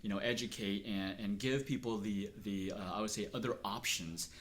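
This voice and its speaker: Earnest, low-voiced middle-aged man. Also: steady tone, normal speed, a bit bright. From Texas. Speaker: interviewee, acupuncturist. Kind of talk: reflective personal interview.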